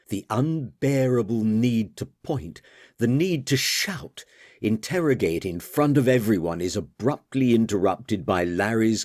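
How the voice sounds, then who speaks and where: A man reading literary fiction as an audiobook narrator, with a measured, deliberate delivery. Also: on the low side, a little mellow, no echo, clean studio sound.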